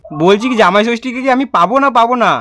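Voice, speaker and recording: A man's voice: extremely high pitch, fast, pushed and bright, slight background noise. A young man making a prank call, chatting casually in a playful, persistent, pleading way. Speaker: prank caller. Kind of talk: casual teasing phone chat.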